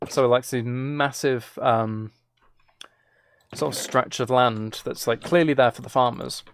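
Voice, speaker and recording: Creaky-voiced man chatting on a gaming stream. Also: mid-range voice, measured pace, slight background noise. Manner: rambling, self-deprecating. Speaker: live streamer. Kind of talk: casual gaming livestream chat, commentary.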